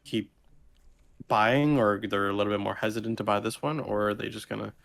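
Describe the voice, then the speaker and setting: A man with a lowish, very creaky voice chats casually as a podcast co-host. He is hesitant and halting, searching for his words as he goes. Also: a bit bright.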